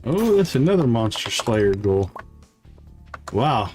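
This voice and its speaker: A man's voice: medium pitch, sing-song, slow pace, a little mellow, soft background music. A man hosting a livestream with casual, playful gameplay commentary, half talking to himself. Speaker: livestream host.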